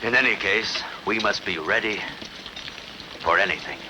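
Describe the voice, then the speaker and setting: A man's voice: on the low side, normal speed, background noise, lightly acted. A man acting a grave, loyal general in a dubbed historical epic, sober and authoritative.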